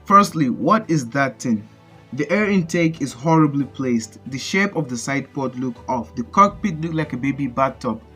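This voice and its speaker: A man's voice: mid-range voice, expressive, normal speed, sharp tone, soft background music. A man with a Nigerian accent, hosting a casual countdown and giving blunt, joking opinions as a voice-over. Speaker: channel host. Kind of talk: casual list commentary.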